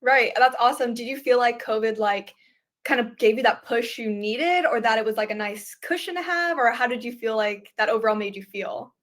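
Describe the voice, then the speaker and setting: A woman's voice: fairly high pitch, fairly quick, fairly bright tone. A woman hosting a live webinar in an upbeat, casual way, using "like" a lot. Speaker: host.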